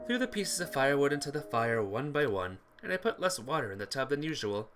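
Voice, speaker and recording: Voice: medium pitch, very animated, brisk, over music. A streamer reading a visual novel aloud expressively, with casual asides to viewers. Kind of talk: game playthrough narration with chat asides.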